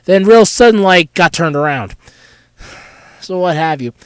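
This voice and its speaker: Hoarse man with a cold, rambling. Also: sits high, expressive, a little slow, a bit bright, dry recording. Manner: rambling, candid and chatty. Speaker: podcast host. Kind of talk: casual solo podcast chat.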